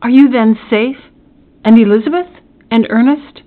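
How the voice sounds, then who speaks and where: A woman's voice: medium pitch, normal speed, close to an everyday voice. Lady doing a tortured young guy from a classic novel, super dramatic and formal, tinny lo-fi audio. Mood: anxious joy. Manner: impassioned, exclamatory, formal old-fashioned phrasing. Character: anguished young man, tormented, melodramatic and desperate.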